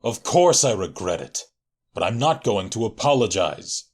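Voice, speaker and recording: A man's voice: fairly low pitch, very animated, normal speed, husky. A man playing a blunt, irritable and stubborn military marshal in an audio drama. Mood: irritated, defensive. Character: gruff, stubborn military marshal, guilt-ridden, defensive. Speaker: voice actor. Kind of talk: audio drama dialogue and narration. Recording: music under the voice.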